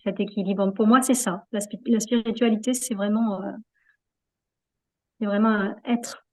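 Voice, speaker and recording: A woman's voice: mid-range voice, fairly even intonation, normal speed. A woman being interviewed in a reflective, conversational way, hesitating often as she searches for her words. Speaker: interview guest, yoga practitioner.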